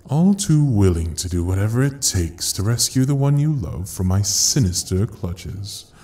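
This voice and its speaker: A man playing a sinister, grandiose ruler of hell in an audio drama, mocking and seductive, with theatrical, drawn-out pauses. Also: lowish voice, warm tone. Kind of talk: dramatic audio roleplay acting.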